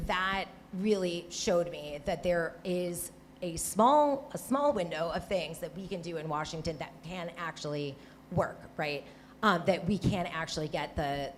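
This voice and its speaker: A woman's voice: fairly low pitch, slight background noise. A woman with a Michigan accent speaking on a live panel discussion about water policy, talking conversationally with frequent restarts and "right?" tags. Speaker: panelist, former Senate staffer.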